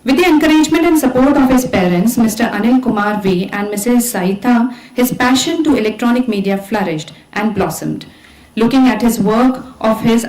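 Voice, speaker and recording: Female event host, Indian accent, formal introductions, higher pitch, strong room echo. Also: darkish tone. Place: event hall stage. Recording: echoey hall PA sound.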